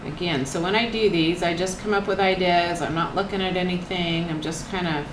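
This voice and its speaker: Older woman, low slightly croaky voice, soft-spoken painting chat; echoey room with a steady air-conditioner hum. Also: fairly quick. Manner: soft-spoken, thinks aloud with trailing restarts. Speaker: painting channel host. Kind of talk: casual talk while painting, faith reflection.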